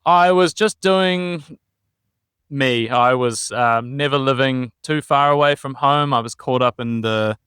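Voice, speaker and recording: A young man with a New Zealand accent, a podcast guest telling his travel story in a relaxed, meandering way with frequent ums. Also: medium pitch, measured pace, smooth, no room sound, clean studio sound. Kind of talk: casual interview chat.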